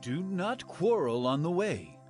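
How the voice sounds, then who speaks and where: An earnest governor of Egypt, high in pitch and very animated. He declaims lovingly and emphatically in a scripture drama, with music underneath. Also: measured pace. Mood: firm. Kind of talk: dramatized scripture audio acting. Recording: music behind the voice.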